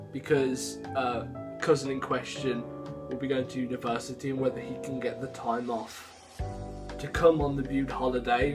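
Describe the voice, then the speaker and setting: A young man chatting casually to viewers as a vlogger, rambling and interrupting himself. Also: mid-range voice. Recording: music behind the voice.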